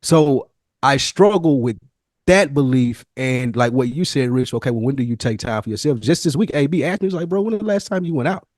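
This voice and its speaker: A man's voice: mid-range voice, lively intonation, fairly quick, darkish tone, no room sound, clean studio sound. A man co-hosting a podcast, telling stories and reflecting candidly in casual, slangy language with some swearing. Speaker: podcast co-host.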